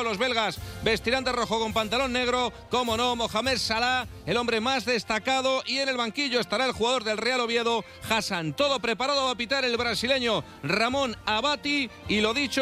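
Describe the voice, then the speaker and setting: A man's voice: very high-pitched, sharp tone. A man giving a live pre-match report as a football reporter. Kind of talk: live sports commentary.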